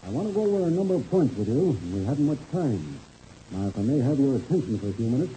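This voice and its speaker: An actor playing a formal, firm air-race official who speaks precisely and with authority in a 1930s radio serial. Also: male voice, mid-range voice, very animated, fast talker, warm tone, close to an everyday voice. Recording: muffled, hissy old radio recording.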